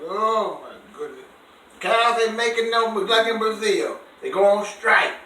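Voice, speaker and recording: A man reading a bedtime story to a child in an expressive way, pausing to ask about and explain words in simple terms. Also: sits high. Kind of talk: animated read-aloud with explanations for a child. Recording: echoey room, distant microphone.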